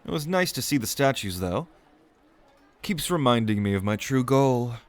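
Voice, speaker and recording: A man's voice: mid-range voice, expressive, moderate pace, close to an everyday voice. A man playing an eager, proud young noble mage prince in an audio roleplay, speaking in a theatrical, earnest way. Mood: wistful, ambitious. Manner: theatrical, earnest, grandly expository. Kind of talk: acted audio roleplay spoken to the listener.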